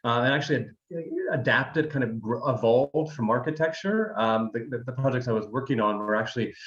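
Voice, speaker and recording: A man's voice: medium pitch, animated, a little fast, fairly bright tone. A man in his thirties from Alabama, a design firm founder talking through his career in a loose, rambling way with many ums. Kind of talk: conversational interview. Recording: dull, lo-fi sound.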